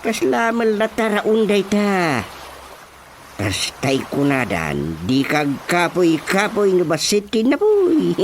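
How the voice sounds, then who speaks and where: A man's voice: high voice, sing-song, takes it slowly, a little hiss or hum, exaggerated voice. A man with an Ilocano accent playing a teasing, exclamatory village chairman uncle in a radio comedy drama. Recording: music behind the voice.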